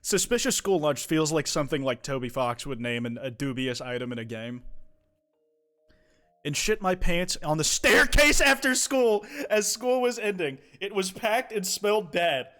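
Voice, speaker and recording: A man's voice: a little high, very animated, normal speed, pushed and bright. A young man from the United States chatting casually on a live stream, reading viewer confessions aloud, rambling and swearing with many restarts.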